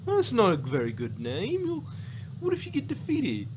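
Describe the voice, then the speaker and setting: A man's voice: high voice, wildly swooping pitch, moderate pace, noisy background. A man co-hosting a casual, unscripted review chat, rambling and often trailing off mid-thought. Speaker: podcast co-host.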